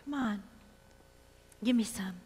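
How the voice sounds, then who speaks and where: A woman's voice: mid-range voice, takes it slowly, warm tone, breathy edge. A woman playing a needy addict, coaxing and sweet-talking for drugs in a theatrical staged reading. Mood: wheedling, needy. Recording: distant voice in reverberant hall.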